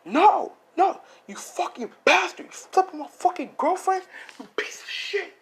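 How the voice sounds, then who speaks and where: A man's voice: extremely high pitch, lively intonation, a little slow, fairly bright tone, voice pushed up, heavily acted. A man voicing an enraged, betrayed teenage superhero student who spits profane, menacing insults in an improvised story. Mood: furious, shrill.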